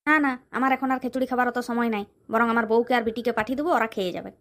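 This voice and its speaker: A performer with a high voice playing an impatient, self-important male village priest with a rural West Bengal accent in a comedy cartoon. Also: steady tone, rapid-fire, a bit bright, slight background noise, natural voice.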